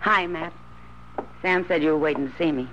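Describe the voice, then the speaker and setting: A woman's voice: low-pitched, moderate pace, close to an everyday voice. An actress playing a wry, world-weary frontier woman with an easygoing manner in a 1950s radio western. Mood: friendly. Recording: muffled, noisy old radio recording.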